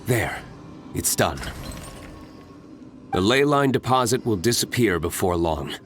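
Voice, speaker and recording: An actor playing a stoic, self-reliant young nobleman vigilante in a video game, speaking in a measured, formal, reserved way. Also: lowish voice, very animated, soft background music. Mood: calm, decisive. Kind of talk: scripted video game cutscene acting.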